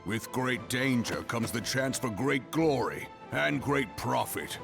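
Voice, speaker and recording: A man's voice: medium pitch, lively intonation, slow, vocal fry, music in the background. A man playing a proud, battle-hardened warrior leader in a fantasy video game, grave and resolute.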